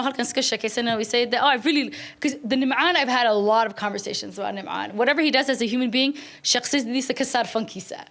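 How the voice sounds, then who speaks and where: A woman's voice: fairly high pitch, a bit bright. A woman writer on a casual panel, chatty, slipping Somali phrases into her English.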